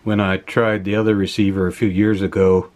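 Man, low voice, measured, matter-of-fact, casual unscripted demonstration, indoor workbench. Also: little pitch movement, a little mellow. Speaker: host of an electronics teardown video.

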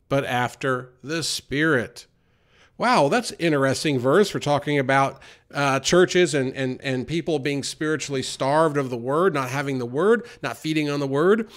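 An earnest man delivers a loose, unscripted sermon. His voice sits a little high for a man, and he often repeats words and restarts his sentences. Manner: earnest, repeats himself with many fillers and restarts. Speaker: preacher. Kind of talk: informal sermon.

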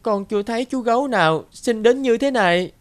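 A man's voice: very high-pitched, lively intonation, fairly quick. A man voicing an earnest, polite, affectionate little boy in a cartoon. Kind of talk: cartoon dub acting.

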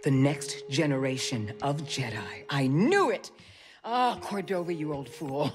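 A woman voicing a composed, wise middle-aged former Jedi mentor in a video game, measured and solemn. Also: low-pitched, lively intonation, over music. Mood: intent. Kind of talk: video game cutscene acting.

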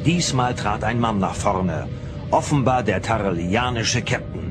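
A measured storyteller voiced by a man narrates a space adventure radio play. He is low and slow, over very loud music. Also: natural voice.

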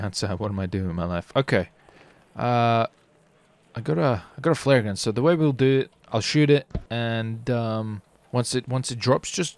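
A man's voice: medium pitch, vocal fry, slight background noise. A man commentating casually on his gameplay as a streamer, with slangy, sweary talk. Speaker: streamer hosting a gaming video.

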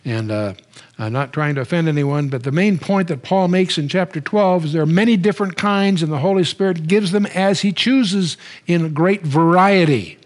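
A man's voice: on the high side, normal speed. An older man teaching a Bible lecture from a lectern, conversational and wry, with frequent restarts. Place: studio stage, standing at a lectern.

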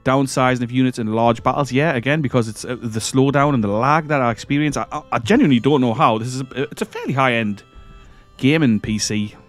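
Croaky man with a cold, rambling gameplay talk. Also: mid-range voice, fairly quick, faint music behind. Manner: rambling, hesitant, self-correcting. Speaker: gaming channel host. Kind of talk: casual let's-play commentary.

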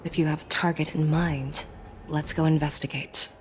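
Woman as steady, lower-voiced game general, tactical, quietly authoritative. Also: a little hiss or hum, natural voice. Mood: commanding. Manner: measured, lecturing, hushed at times. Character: commanding female general, calm sharp strategist. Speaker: military general. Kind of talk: game cutscene voice acting.

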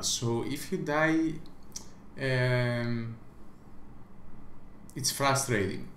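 A man's voice: medium pitch, fairly bright tone, echoey room, slight background noise. A man who does not speak English natively, giving an emphatic opinion rant as a small gaming vlogger, repeating himself and stumbling through restarts.

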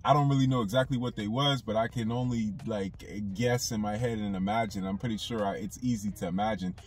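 A man's voice: lowish voice, fairly quick, husky, recorded from a distance. A young man talking casually to camera from inside a car, rambling with lots of fillers. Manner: loose, rambling, with many fillers. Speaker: YouTube commentator. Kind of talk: casual commentary vlog.

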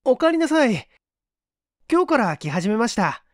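A man voicing a gentle, refined young lady with polite feminine speech in a story reading. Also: very high-pitched, sing-song, normal speed, dry recording, studio quality, voice pushed up. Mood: polite, concerned.

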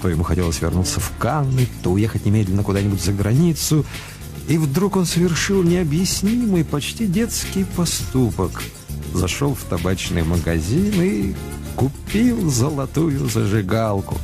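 A man acting as the storyteller of a radio play, narrating in a measured, reflective and literary manner. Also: mid-range voice, big pitch swings, vocal fry. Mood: reflective, dramatic. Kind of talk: scripted narration for a radio drama. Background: music.

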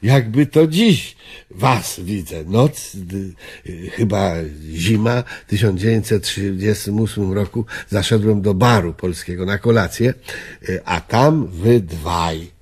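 An actor playing an elderly former Polish army officer in a radio drama, reminiscing wistfully in halting, reflective phrases. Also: male voice, fairly low pitch, darkish tone, husky. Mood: fond, nostalgic. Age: older.

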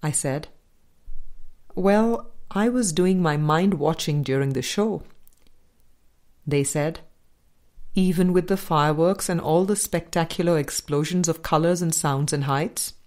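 A woman narrating an audiobook, reading from a script in a measured manner. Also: low-pitched, darkish tone.

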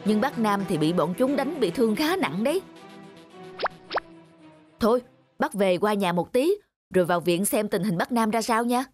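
A woman's voice: mid-range voice, expressive, normal speed, pauses often, faint music behind, natural voice. Worried, talkative cartoon auntie voiced by a woman. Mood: worried, urgent. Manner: explanatory, concerned. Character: worried, talkative middle-aged neighbour woman. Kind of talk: cartoon dubbing.

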